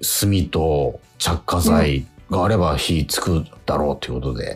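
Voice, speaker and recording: A middle-aged man co-hosting a radio show, chatting casually in an animated, hesitant and self-deprecating way. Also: a bass voice, moderate pace, slightly rough. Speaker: radio show co-host, stage actor. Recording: music bed under the voice.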